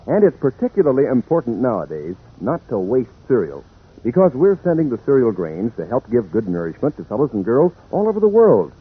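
A man delivering a 1940s radio commercial to children as a chummy, emphatic announcer with a husky voice. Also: medium pitch. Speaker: radio announcer and cereal pitchman. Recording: tinny, band-limited 1940s radio recording.

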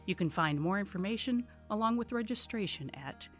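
A woman's voice: mid-range voice, lively intonation, fairly quick. A woman reading a scripted promotional announcement as an announcer. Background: faint music.

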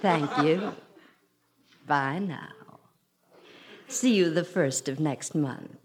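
Coy, slow-drawling young charmer. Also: female voice, low voice, a crowd in the background. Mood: coy, sweet. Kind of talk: 1940s radio sitcom acting. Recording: noisy old radio broadcast recording.

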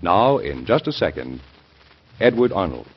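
A man's voice: low voice, very animated, measured pace, over loud music. A man announcing on 1940s radio, reading scripted copy in an earnest, formal, emphatic style. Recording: muffled vintage radio recording.

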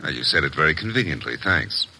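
A cold, curt husband in a 1950s radio mystery. His voice is deep and hard-edged, yet it moves widely in pitch as he haughtily brushes questions aside. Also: expressive, fast, bright, forceful tone, heavily acted, rough recording. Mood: haughty, defiant. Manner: clipped, haughty, dismissive. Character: cold, curt, guarded husband under suspicion. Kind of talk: 1950s radio detective acting.

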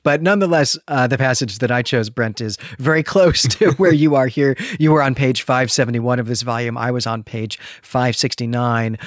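A man's voice: medium pitch, no room sound, clean studio sound. A man co-hosting a book discussion podcast, speaking in an articulate, enthusiastic and bookish conversational way. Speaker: podcast co-host. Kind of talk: book discussion podcast, conversational with some read-aloud passages.

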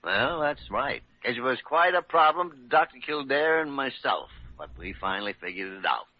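Gruff, fatherly senior radio doctor. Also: medium pitch, very animated, moderate pace, dry recording. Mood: matter-of-fact. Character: seasoned male senior doctor, gruff, fatherly, matter-of-fact. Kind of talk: 1940s radio drama acting. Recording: dull, dry old radio recording.

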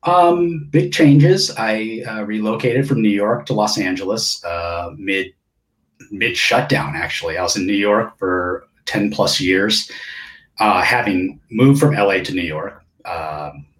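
Relaxed man in his forties, lowish voice. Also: unhurried, low-quality recording. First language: Japanese. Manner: relaxed, rambling with fillers and restarts. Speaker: guest, session percussionist. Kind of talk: casual interview chat.

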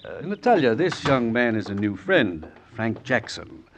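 An actor playing an aging, vain but genial exiled Russian revolutionary, deliberate and halting, in an old radio drama. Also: male voice, mid-range voice, very animated. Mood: genial, courtly. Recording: vintage radio recording with faint noise.

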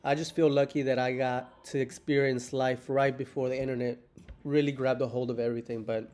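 A man in his thirties from the United States, hosting a podcast in relaxed, rambling casual chat and swearing casually. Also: mid-range voice, fairly quick. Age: 30s. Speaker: podcast host. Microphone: remote call.

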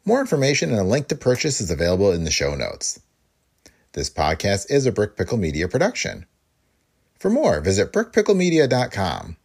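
A man's voice: fairly low pitch, huge pitch swings, a little fast, gravelly, a little mellow, slightly rough. A man hosting a podcast with scripted, introductory narration. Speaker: podcast host.

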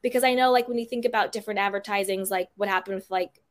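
A woman's voice: medium pitch, fairly even intonation, quick pace. A woman hosting a podcast interview, talking in a chatty, upbeat way with plenty of "like" and "you know". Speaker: podcast host. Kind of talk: casual interview chat.

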